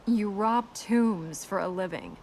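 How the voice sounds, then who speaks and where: A woman's voice: medium pitch, takes it slowly, creaky, darkish tone. Young game princess, earnest n composed, bit teasing, some ambience noise behind her. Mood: solemn. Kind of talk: naturalistic video game dialogue.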